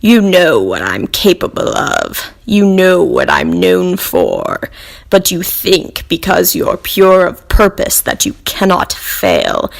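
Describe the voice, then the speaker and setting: A woman voicing a proud captive demon who taunts and threatens slowly and deliberately in a dramatic monologue. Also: fairly low pitch, gravelly, full character voice. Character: menacing bound demon, taunting, patient and proud.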